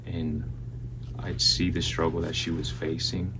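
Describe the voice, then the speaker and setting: A man in his twenties from the Dominican Republic, giving an earnest, halting personal faith testimony in a soft-spoken way. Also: low-pitched. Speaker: church member giving testimony. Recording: steady electrical hum behind voice.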